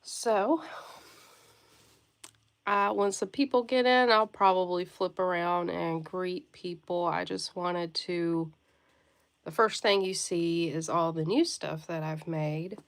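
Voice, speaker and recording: Stuffy woman hosting a live sale, talks slow, keeps clearing her throat, says hi to everyone. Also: on the low side, steady tone. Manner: friendly greetings to viewers by name; occasional coughing and throat clearing. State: stuffy nose from a cold. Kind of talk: casual livestream chat.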